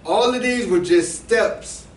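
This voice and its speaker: A man's voice: high-pitched, takes it slowly. Slangy man talking in an echoey room. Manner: loose and conversational, with slang, repeated restarts and asides to the audience. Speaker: presenter explaining cryptocurrency to a small audience. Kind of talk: casual talk to a live group. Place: room with a small seated audience. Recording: echoey room voice from a distance, faint background hum.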